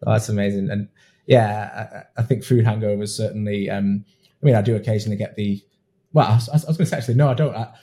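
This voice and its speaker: A man's voice: on the low side, steady tone, quick pace, warm tone. A man hosting an interview, chatty and affirming, often restarting his sentences. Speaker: podcast host. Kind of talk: conversational interview.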